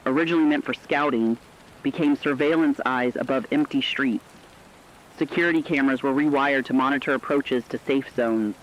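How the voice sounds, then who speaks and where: A man reading scripted dramatic narration in a serious, deliberate storytelling manner. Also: mid-range voice, highs cut off.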